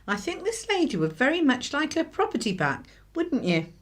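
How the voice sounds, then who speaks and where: A woman's voice: sits high, big pitch swings, moderate pace. Woman acting a lilting, courteous mum. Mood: apologetic, courteous. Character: apologetic, courteous housewife and mother. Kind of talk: radio drama acting.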